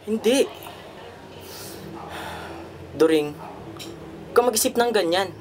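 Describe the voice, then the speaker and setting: A man's voice: high-pitched, expressive, moderate pace. A man acting a defensive, aggrieved migrant-worker husband in a radio drama. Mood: defensive denial. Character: defensive, aggrieved husband back from working abroad. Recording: noisy amateur radio drama recording.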